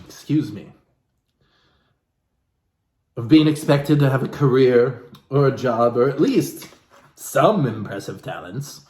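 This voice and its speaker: A man's voice: mid-range voice, expressive, slow pace, pauses often, darkish tone. A man reading a self-help book aloud in a theatrical, playful way, breaking off into wry, joking asides of his own. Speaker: comedic reader and commentator. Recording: echoey room with background hiss.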